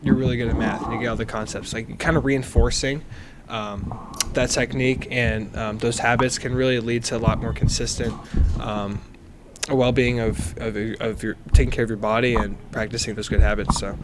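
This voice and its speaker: A man's voice: medium pitch, steady tone, normal speed, heavy background noise. A man co-hosting a casual interview, rambling and thinking aloud with many fillers. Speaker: student co-host.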